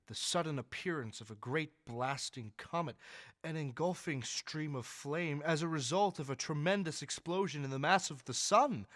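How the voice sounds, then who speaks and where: A man playing a dignified, deferential astronomer in a radio drama, speaking in a formal, measured, lecturing way. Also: medium pitch, a bit bright, no echo, studio quality, lightly acted. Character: dignified male astronomer, learned, earnest, deferential yet sure.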